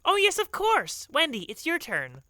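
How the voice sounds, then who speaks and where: Bright, prim know-it-all camper girl played by a woman. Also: high-pitched, huge pitch swings, moderate pace, no room sound, full character voice. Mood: critical. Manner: precise, pompous, exacting. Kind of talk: scripted audio drama dialogue.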